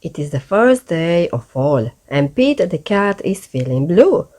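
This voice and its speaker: Slow, lively woman reading a children's story. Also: lowish voice. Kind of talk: read-aloud bedtime storytelling.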